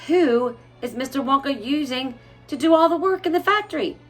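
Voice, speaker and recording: A woman voicing a curious young boy in a storybook, asking questions in a quick, eager way. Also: sits high, animated, soft background music, pitched above the performer's own voice. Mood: puzzled.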